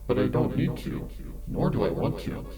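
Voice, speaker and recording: A man voicing a sinister monster posing as a friend in a dramatic story reading, with a twisted, whispery voice and gentle menace. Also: normal speed, close to an everyday voice. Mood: quietly menacing. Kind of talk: dramatic fan fiction audio reading. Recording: loud music under the voice.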